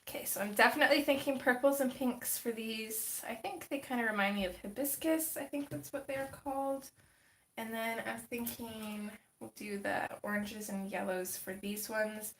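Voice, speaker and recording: A woman's voice: on the high side, fairly even intonation, moderate pace, a little hiss or hum. A woman hosting a casual livestream while coloring, chatty and thinking aloud, greeting viewers by name, getting over a cold with a slight cough. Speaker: livestream host. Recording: roomy echo.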